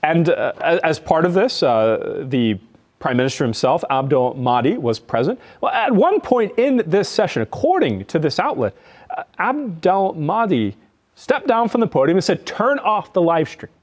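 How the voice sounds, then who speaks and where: A man in his thirties reporting on a news discussion show, halting and emphatic, repeating phrases as he reads out and explains a report. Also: fairly high pitch, sing-song. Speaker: news correspondent. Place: television studio.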